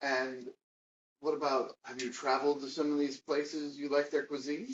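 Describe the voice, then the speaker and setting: Dating coach, 40s guy, halting speech, dull far-off mic sound. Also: medium pitch, flat delivery. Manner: halting, stammering restarts. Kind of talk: casual conversation. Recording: dull, distant mic, light noise.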